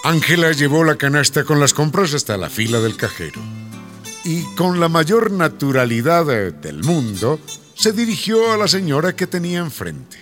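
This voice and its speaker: A man narrating a family radio soap opera in an expressive, scripted storytelling manner. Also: fairly high pitch, normal speed, faint music behind.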